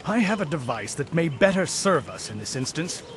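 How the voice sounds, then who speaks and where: Game cutscene: high-pitched, lively noble warlord, proud, commanding, defiant. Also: male voice, moderate pace, a little hiss or hum. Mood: scheming. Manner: stirring, commanding speech. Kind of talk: video game cutscene acting.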